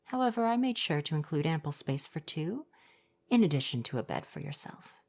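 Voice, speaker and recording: Man playing a forest spirit, male, soft-voiced, gentle, coy, reassuring, slightly formal wording, ASMR roleplay. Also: a little high, very animated, fairly quick. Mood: caring, welcoming.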